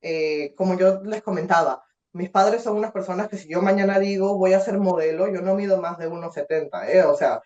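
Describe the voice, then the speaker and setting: A young woman from Peru with a Peruvian accent tells her story in an interview. She talks in an expressive, animated way, with frequent "eh" and restarts. The sound is roomy and a little dull. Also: fairly low pitch, fairly quick. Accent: Peruvian Spanish. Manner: expressive, many fillers and restarts. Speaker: guest.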